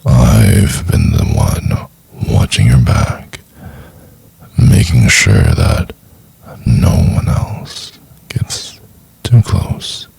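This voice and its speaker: A man playing a possessive, obsessive stalker boyfriend in an intimate ASMR roleplay, speaking in a husky, hushed half-whisper with soft menace. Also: very deep voice, wildly swooping pitch, no echo, full character voice. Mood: possessive, menacing. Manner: slow, hushed, crooning insistence.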